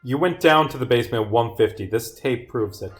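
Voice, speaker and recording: A man's voice: medium pitch, brisk, lightly acted. A stern detective from a visual novel, read out by a man, laying out evidence in pointed, declarative lines. The delivery is accusing, with faint music underneath. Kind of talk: reading visual novel dialogue aloud.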